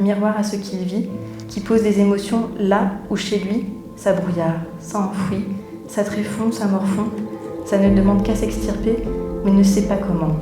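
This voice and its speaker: A woman's voice: medium pitch, dark tone. A woman, a theatre director, addressing an audience live from a theatre, in the manner of a welcome and read-aloud story. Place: theatre auditorium, before an audience. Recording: roomy echo, music underneath.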